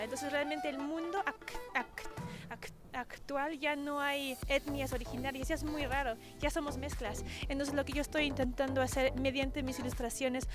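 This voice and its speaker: A young woman, an illustrator answering interview questions in a rambling way with many restarts. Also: high voice. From Mexico and the UK. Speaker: illustrator guest.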